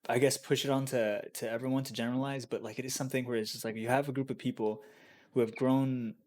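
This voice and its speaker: A man with a mid-pitched voice thinks aloud on a podcast. He speaks hesitantly and often trails off into fillers. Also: fairly even intonation, quick pace, darkish tone. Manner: hesitant, musing, many fillers. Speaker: podcast co-host. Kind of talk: casual podcast chat.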